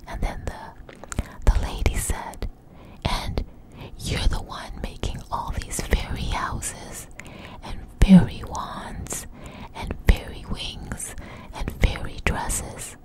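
A woman whispering close to the microphone in a breathy, intimate, conversational way, telling an informal story for ASMR. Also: slow pace, poor audio.

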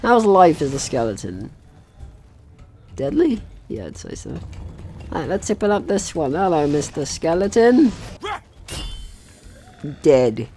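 A man's voice: on the high side, big pitch swings, a little slow, vocal fry, long pauses, dark tone, a little hiss or hum. A man giving casual let's play commentary, chatty and jokey, talking to himself and the game's enemies. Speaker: gaming channel host.

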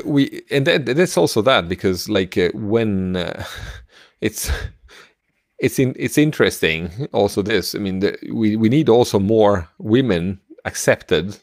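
A middle-aged man co-hosting a podcast in casual discussion, haltingly searching for words into a close microphone. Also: low voice, no room sound. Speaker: podcast co-host. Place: small room at home, indoors, video call. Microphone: close podcast microphone with headset.

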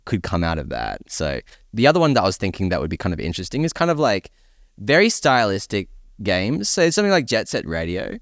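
A man with a very low, resonant voice talks in a casual podcast discussion. He muses thoughtfully as a co-host, and the sound is close and dry. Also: huge pitch swings, brisk, no echo. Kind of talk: casual panel chat.

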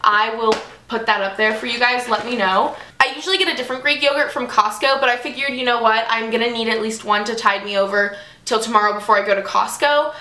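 A young woman chatting casually and upbeat as she shows her groceries, with lots of "like" and quick asides. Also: fairly high pitch, pushed and bright, slight background noise. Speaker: fitness vlogger. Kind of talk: casual grocery haul vlog. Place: small bedroom, indoors. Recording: echoey room.

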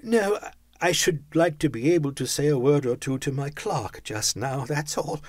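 A man voicing a stubborn old miser who grows heated and defensive, his voice rising high and loud. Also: moderate pace, no room sound, natural voice. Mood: evasive.

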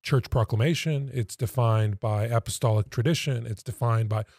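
Man, thirties, measured emphatic phrasing, conversational, dry studio mic sound. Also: medium pitch, darkish tone, dry recording. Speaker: podcast guest, pastoral associate. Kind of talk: conversational explanation. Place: small indoor podcast studio. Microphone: close studio mic on stand.

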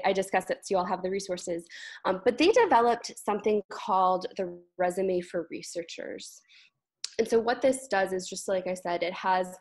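Young woman explaining on a panel. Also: medium pitch. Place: indoors, on a group video call. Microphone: video call.

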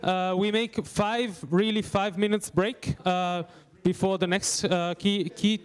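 A man's voice: sits high, steady tone, slow, clean tone. A man moderating a conference session, wrapping up questions hesitantly and announcing a break. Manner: hesitant, light laughter. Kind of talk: event moderation. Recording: long hall reverb.

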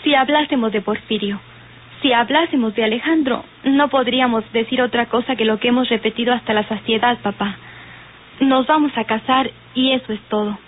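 An actress plays a determined young daughter in a radio serial. Her voice is high and composed. The old recording is thin and phone-like. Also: brisk, natural voice. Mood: firm. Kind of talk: old radio soap acting. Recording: tinny old radio recording, faint noise.

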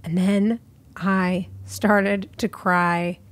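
A woman in her thirties, a podcast guest, chatting in a lively, teasing way, seated in a living room at a boom-arm studio mic. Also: very slow. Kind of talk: casual chat. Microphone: studio mic on boom arm, headphones.

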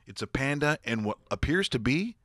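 A man in his fifties hosts with casual, mocking commentary. His delivery swings widely with incredulity, in a bright, forceful voice. He is close on the mic in a dry studio. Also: medium pitch, big pitch swings, moderate pace, no room sound. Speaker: show host. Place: small studio. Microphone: close broadcast microphone, headset monitors.

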